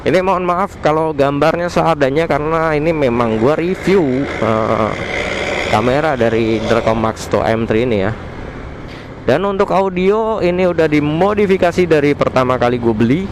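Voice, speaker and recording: A man's voice: on the high side, moderate pace. A man with a colloquial Jakarta Indonesian accent gives a casual, slangy gear review into a helmet headset mic while riding outdoors. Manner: informal, slangy. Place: outdoors on a motorbike at night. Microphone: modified headset mic inside helmet. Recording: loud road and engine noise, helmet mic. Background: traffic and vehicle noise.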